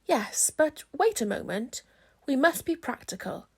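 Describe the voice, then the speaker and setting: A woman voicing an earnest, fretful male toy bear from a children's storybook, speaking in a deliberate, solemn and plaintive way. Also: high voice, big pitch swings, darkish tone, voice pushed up, full character voice.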